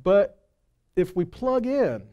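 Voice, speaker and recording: A male preacher in his forties with a high, soft-edged voice and a mellow tone. He delivers a sermon conversationally, mixing homespun storytelling with scripture, and leans on rhetorical questions for emphasis. He speaks into a headset mic on an indoor stage. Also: big pitch swings, slow pace, muffled recording. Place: indoors on a stage at a congregation hall. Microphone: headset microphone.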